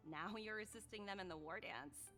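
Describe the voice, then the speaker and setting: A woman's voice: mid-range voice, normal speed, pushed and bright, natural voice. Poised young businesswoman for a game, played by a woman, coolly condescending, courteous, challenging. Music underneath. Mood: poised, probing. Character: poised, smug young female corporate executive, politely challenging. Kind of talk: video game voice acting. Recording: music behind the voice.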